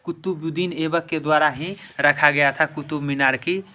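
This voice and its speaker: A man with a slightly high voice teaches in quiz style for exam students. He repeats each question and answer to drill it in. There is some noise behind him on the recording. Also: fairly even intonation, moderate pace, sharp tone. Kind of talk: quiz-style history lesson.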